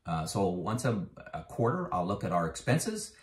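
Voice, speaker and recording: A man's voice: low-pitched, very animated, moderate pace. Man in his fifties, echoey room. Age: 50s. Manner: conversational, many fillers, emphatic when making a point. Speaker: retirement finance vlogger. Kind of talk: casual explanatory talk to camera.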